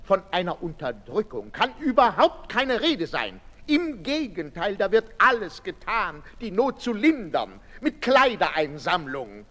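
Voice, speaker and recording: An indignant official of an asylum, pompous and protesting in a high voice that swings widely. He sounds like 1960s radio theatre, in a reverberant hall with some noise. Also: very animated, unhurried, few pauses, a bit bright, exaggerated voice. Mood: defensive. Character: indignant pompous male asylum director defending church and state. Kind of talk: 1960s German radio play acting.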